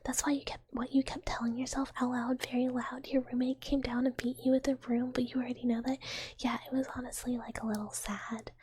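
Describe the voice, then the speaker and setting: A woman's voice: sits high, level tone, brisk, darkish tone. A woman streamer whispering casual, giggly, rambling chat to her viewers. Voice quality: whispering, very breathy. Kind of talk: whispered ASMR chat while reading viewer comments.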